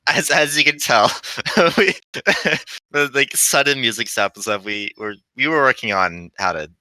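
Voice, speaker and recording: A man's voice: medium pitch, normal speed, bright, forceful tone, clear, resonant tone, no room sound. A man, a guest game developer, chatting casually during a streamed read-along.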